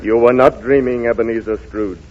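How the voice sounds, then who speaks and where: An actor playing a stern, commanding Christmas ghost who scolds solemnly, in a muffled 1930s radio drama. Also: male voice, mid-range voice, steady tone, fairly quick, dry recording, natural voice. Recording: muffled old radio broadcast, faint music.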